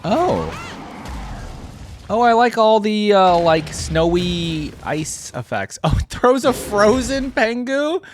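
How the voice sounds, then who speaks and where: A man's voice: high voice, very animated, takes it slowly, a little hiss or hum. A man giving casual reaction commentary as a gamer, thinking aloud in an informal way. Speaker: gamer reacting to game character skins.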